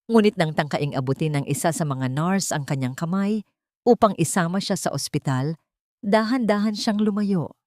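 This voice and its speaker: Female narrator for dramatic audio story, lower register, dry recording. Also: a little mellow, no echo.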